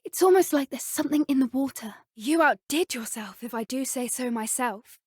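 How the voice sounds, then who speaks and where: Earnest young British witch, high voice. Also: normal speed, slightly rough, no echo, clean studio sound. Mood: admiring, excited. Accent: British English. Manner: polite, well-spoken, earnest. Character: earnest, gentle schoolgirl witch who loves magical beasts. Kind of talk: scripted video game voice acting.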